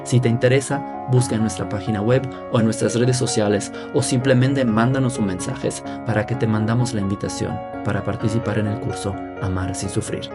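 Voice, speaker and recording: A man with a Mexican accent hosting a podcast interview, speaking in a soft, reflective way. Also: on the low side, steady tone, brisk, few pauses, loud music behind the voice. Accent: Mexican Spanish. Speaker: podcast host.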